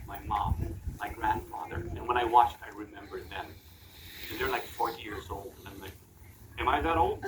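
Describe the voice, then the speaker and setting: A man raised in the Philippines with a Filipino accent, telling stories to a live audience at a fan Q&A, animated and laughing as he acts out quoted lines. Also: medium pitch. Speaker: TV show judge at fan Q&A. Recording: echoey, distant, with background noise.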